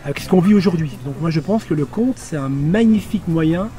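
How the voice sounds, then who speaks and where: A man who works as a cultural development officer, explaining his work in an earnest, clear way during an interview. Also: fairly high pitch, lively intonation, fairly quick, warm tone.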